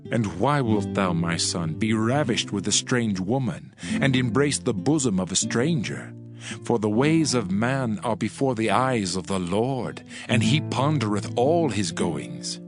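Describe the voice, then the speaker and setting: A man's voice: fairly low pitch, lively intonation, a little slow. A man reading dramatised scripture like a father counselling, in a solemn, admonishing way. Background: music.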